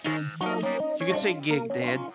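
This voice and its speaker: A man's voice: on the high side, very slow, over loud music. A man playing a vampire's wisecracking son, a sarcastic co-host who interjects sardonically in comedy radio theater. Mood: teasing. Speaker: co-host sidekick.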